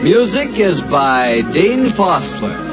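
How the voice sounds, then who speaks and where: A man's voice: sits high, very animated, slow. A man announcing an old radio drama, trading lines with the lead and reading the closing credits. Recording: muffled old radio recording, faint music.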